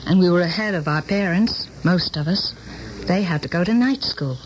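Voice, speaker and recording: An actress playing a proud, wistful middle-aged woman in a radio drama, speaking formally and deliberately. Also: lowish voice, slight background noise, natural voice, poor audio. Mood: earnest, wistful. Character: proud, wistful older former student confronting her teacher. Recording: old radio drama broadcast, music behind.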